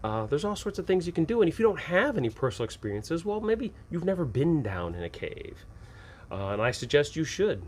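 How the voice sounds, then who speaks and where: A man's voice: a little high, big pitch swings, brisk. An American man giving casual, unscripted advice as a game master show host, in a rambling, conversational way. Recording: clearly noisy ambient background.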